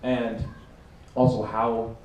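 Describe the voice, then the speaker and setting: A man speaking on a convention panel as a podcaster, halting and wry, repeating words as he thinks. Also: mid-range voice, little pitch movement, darkish tone, dull audio, slight background noise. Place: large convention hall. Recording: echoey hall, far from mic.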